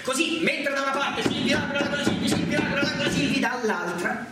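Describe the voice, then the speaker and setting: A man's voice: extremely high pitch, fairly quick, over loud music. A man narrating a theatrical monologue in a dramatic, emphatic manner. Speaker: stage actor and narrator. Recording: very echoey theatre, distant mic, faint noise.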